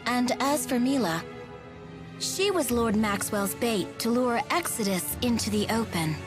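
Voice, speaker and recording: A haughty female spirit from a fantasy game, with a high voice over prominent music. She speaks in formal, imperious, carefully enunciated phrases, fiercely bound to duty. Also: lively intonation, measured pace. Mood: cold, scornful. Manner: formal, imperious, precise diction. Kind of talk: dramatic video game voice acting.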